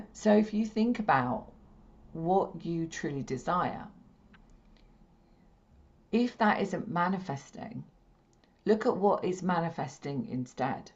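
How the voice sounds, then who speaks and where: A woman with a slightly croaky, hoarse throat, leading a spiritual healing session in a gentle, repetitive, soothing way. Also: on the low side, lively intonation, slow, pauses often. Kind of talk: slow meditative teaching talk. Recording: dull lo-fi stream audio.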